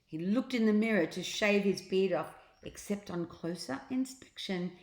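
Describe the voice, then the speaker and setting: A woman's voice: mid-range voice, expressive, moderate pace, darkish tone, reverberant. A woman reading a children's story aloud expressively, acting out sounds and adding little asides to her listeners. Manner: theatrical, acts out sound effects.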